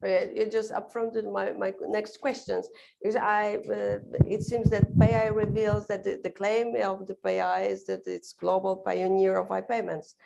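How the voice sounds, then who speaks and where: A woman's voice: mid-range voice, flat delivery, normal speed, vocal fry, dark tone, a little hiss or hum, poor audio. A woman moderating a conference panel, speaking hesitantly with many fillers as she puts questions to a guest. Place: conference stage.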